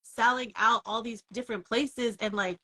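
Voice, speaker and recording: A woman's voice: on the high side, steady tone, normal speed, pushed and bright. A woman hosting a music interview, chatty and enthusiastic, with lots of fillers, on a video call. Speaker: host, music blogger. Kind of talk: casual interview chat.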